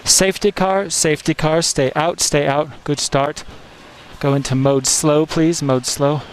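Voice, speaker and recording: A man's voice: fairly high pitch, fairly even intonation, a little slow. A male race engineer giving short, repeated instructions to a driver over team radio. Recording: noisy motor racing team radio.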